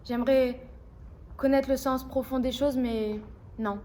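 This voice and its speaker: A woman's voice: high-pitched, fairly even intonation, a little slow, warm tone. A woman delivering a theatrical monologue as a drama student. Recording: distant mic, background noise.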